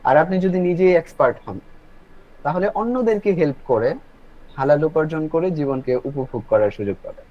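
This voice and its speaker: A man's voice: on the high side, animated, fairly quick. A man hosting an online webinar, explaining things instructively and addressing listeners directly, in lo-fi call audio. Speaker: webinar host and presenter. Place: online video meeting.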